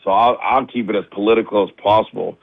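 A man, a high school basketball coach, talking candidly in a radio interview with lots of restarts; his voice is a little raspy as he recovers from the flu. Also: fairly even intonation, fast, no echo. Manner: candid, many restarts and fillers. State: recovering from flu, low energy. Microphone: phone line. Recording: tinny dry phone line.

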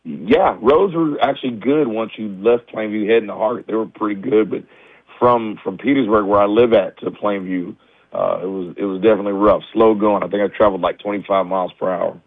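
A man, a high school basketball coach, talking candidly in a radio interview with lots of restarts; his voice is a little raspy as he recovers from the flu. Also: a little fast, dry recording. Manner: candid, many restarts and fillers. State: recovering from flu, low energy. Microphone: phone line. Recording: tinny dry phone line.

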